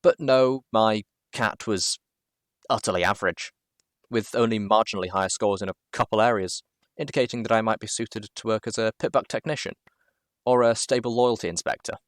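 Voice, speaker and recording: Guy reading fan fiction out loud, kinda low voice, dry sound, expressive with dramatic pauses. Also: no room sound. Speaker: amateur story reader. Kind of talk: expressive first-person fan fiction narration.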